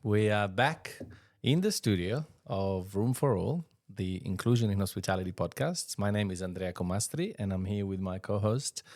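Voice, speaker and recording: Man, podcast host, very low voice, playful and teasing. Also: animated, normal speed, a little mellow, dry recording, studio quality. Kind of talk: casual interview chat.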